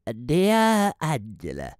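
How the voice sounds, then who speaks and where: A woman playing a magazine reader voicing her letter to an agony aunt in a theatrical, drawn-out way for an audio play. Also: sits low, huge pitch swings, a little mellow, no echo, studio quality, heavily acted. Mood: plaintive, matter-of-fact.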